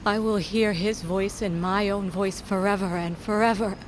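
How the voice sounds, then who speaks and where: An actress playing a solemn, grieving middle-aged mother in a fantasy audio drama, speaking in a measured, weighty storytelling way. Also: mid-range voice, steady tone, slight background noise, lightly acted. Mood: grave, grieving. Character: grieving, solemn mother, proud wolf queen's daughter.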